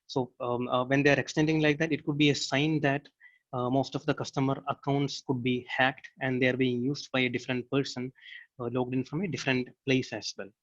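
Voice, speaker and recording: A man giving a step-by-step software demo as a technical presenter, explaining in a halting way. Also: medium pitch, flat delivery, lo-fi sound.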